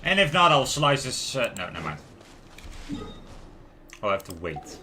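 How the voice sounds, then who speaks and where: A man's voice: medium pitch, big pitch swings, unhurried, pushed and bright, slight background noise. A man livestreaming a video game with casual commentary, often talking to himself as he plays. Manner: muttering reactions, talks to himself. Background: faint music.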